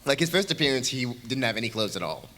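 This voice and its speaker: A man's voice: medium pitch, very animated, quick pace, sharp tone. A man speaking as a creator on a convention panel, answering questions in a rambling, jokey way. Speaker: panelist, creator of an audio series. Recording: noisy convention panel room.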